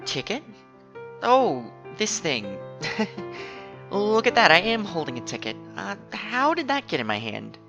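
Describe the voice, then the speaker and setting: A woman's voice: mid-range voice, very animated, a little slow, dull audio, over music. A woman voicing a flustered, earnest courier who talks hesitantly and awkwardly in a fan radio play. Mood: flustered bluffing. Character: flustered, earnest parcel courier trying to bluff.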